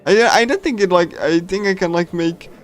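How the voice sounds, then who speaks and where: A man's voice: high voice, moderate pace. A man streaming a visual novel with casual, rambling commentary, often repeating himself and exclaiming. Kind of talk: casual live commentary on a game.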